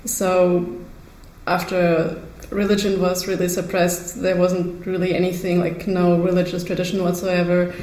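A young woman giving an informal, slightly halting personal account in an interview. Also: lowish voice, level tone, darkish tone. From Germany, born in Ukraine. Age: young adult. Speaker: sociologist and peace activist interviewee. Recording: echoey room with faint noise.

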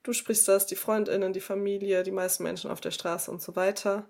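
A woman in her thirties talks in a relaxed podcast chat. She explains patiently, works through examples and slips into a mild lecturing tone. Her voice sits at an ordinary middle pitch for a woman. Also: brisk, vocal fry. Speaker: podcast host, speaker on multilingual upbringing.

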